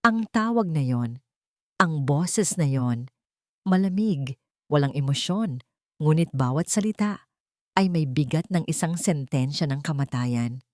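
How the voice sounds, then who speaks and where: Low-voiced woman narrating a dramatic story. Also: animated, unhurried, pauses often, dry recording, studio quality.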